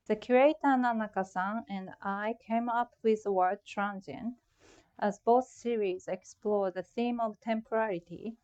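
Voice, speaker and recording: A woman's voice: medium pitch, darkish tone, lo-fi sound. A middle-aged woman from Japan with a Japanese accent, giving a prepared, reflective talk about her own art. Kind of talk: prepared artist talk presentation.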